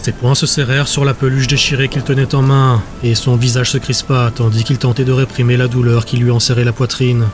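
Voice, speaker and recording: A man's voice: medium pitch, flat delivery, a little fast, hardly any pauses. Male audiobook narrator over prominent music. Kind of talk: scripted fantasy audiobook narration.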